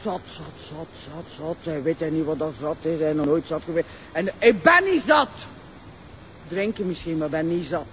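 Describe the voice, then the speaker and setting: A woman's voice: sits low, moderate pace, slight background noise, close to an everyday voice. An actress playing a drunk Flemish woman who slurs, stammers and rants at men, in a radio drama. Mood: slurring. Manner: slurred, stammering, loud outbursts. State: drunk, slurring. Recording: dull lo-fi radio recording.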